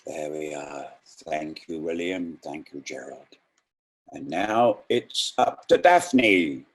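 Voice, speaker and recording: A man reading and introducing poems in an unhurried, deliberate, reflective way. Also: a bass voice, lively intonation. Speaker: poetry reader introducing and reciting poems. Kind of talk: poetry reading with spoken introductions.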